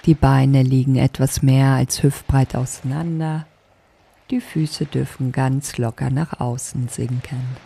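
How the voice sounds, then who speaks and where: A woman leading a guided sleep meditation as a yoga nidra teacher, speaking softly in a calming, deliberate way. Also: extremely low pitch, vocal fry, breathy edge. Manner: soft, calming, deliberate instruction.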